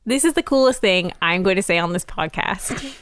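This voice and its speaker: A woman's voice: medium pitch, animated, normal speed, a bit bright, dry recording, clean studio sound. A woman co-hosting a casual podcast, upbeat and explaining things at length with lots of "like". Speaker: podcast co-host.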